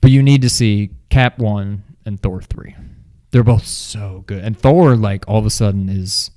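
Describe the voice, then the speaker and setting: A male co-host chats informally about a movie in a slightly low voice. His delivery is halting and unscripted, and he often trails off before finishing a thought. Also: dark tone. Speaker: film review co-host. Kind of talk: casual unscripted movie discussion.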